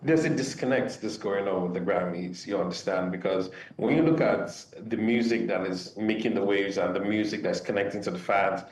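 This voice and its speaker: A man's voice: on the low side, moderate pace, hardly any pauses, darkish tone. Hesitant male guest in an echoey room. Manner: hesitant, many fillers, opinionated. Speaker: music commentator guest. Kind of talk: opinionated discussion. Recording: roomy echo from a distance, faint noise.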